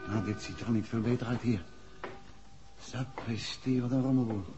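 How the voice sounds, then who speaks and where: A man acting a calm, resolute detective husband in a 1960s Dutch radio drama. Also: mid-range voice, moderate pace, muffled recording, music in the background, close to an everyday voice. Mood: calm, watchful. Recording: noisy old 1960s radio recording.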